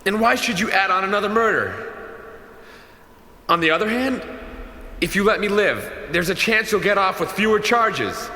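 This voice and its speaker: A man's voice: high-pitched, expressive, moderate pace, long pauses, bright, forceful tone. A man playing a brave, devout young captive in an audio drama, earnest and reasoning as he talks. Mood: tense reasoning. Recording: echoey hall reverb.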